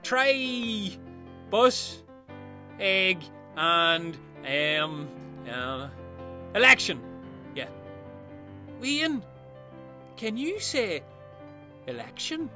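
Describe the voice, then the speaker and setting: Someone putting on a shrill, excitable, fussy boss. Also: drawn-out words, pauses often, faint music behind, exaggerated voice. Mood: coaxing. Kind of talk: comedy audio sketch acting.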